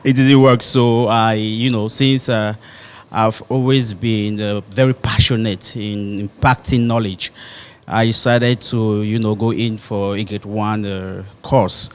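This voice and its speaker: A man's voice: fairly low pitch, steady tone, measured pace, clean tone. A man from Cameroon with a French-tinged Cameroonian accent, answering politely and conversationally as an interview guest. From Cameroon, raised in Douala. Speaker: guest, head teacher and actor. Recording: music in the background. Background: music.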